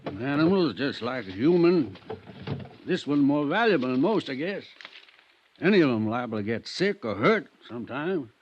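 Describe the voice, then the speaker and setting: Man acting an older folksy country vet, animated. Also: medium pitch, normal speed, a little hiss or hum, close to an everyday voice. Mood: explaining. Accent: American. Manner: folksy, plain-spoken, testy. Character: older male country veterinarian, folksy, defensive, stubborn. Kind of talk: 1950s radio acting. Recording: dull vintage radio recording.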